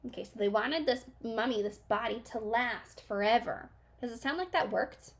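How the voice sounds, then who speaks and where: A woman's voice: on the high side, animated, moderate pace. A young woman teacher reading a children's book aloud, stopping to ask questions and explain.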